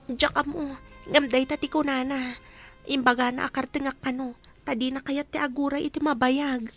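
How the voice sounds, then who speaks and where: A meek country girl working as a maid in a radio drama. Her voice is high, and she speaks hesitantly and naively, often questioning. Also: flat delivery, normal speed, a bit bright, faint music behind. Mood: hesitant, pleading. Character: meek, naive young provincial housemaid.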